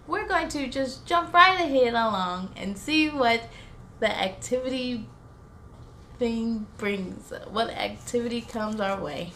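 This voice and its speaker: A woman's voice: on the high side, bright, forceful tone, a little hiss or hum. A woman hosting a children's story time in a sing-song way, talking directly to young children.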